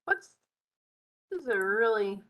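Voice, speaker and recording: A woman with a bright, forward voice gone tired and hoarse, talking through a live software lesson at her desk mic. She mutters and hums while clicking around and keeps up an easy, chatty patter. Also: medium pitch, very animated, a little slow. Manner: chatty, Southern "y'all", muttering while working. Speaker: software tutorial host. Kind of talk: live screen-share tutorial, thinking aloud.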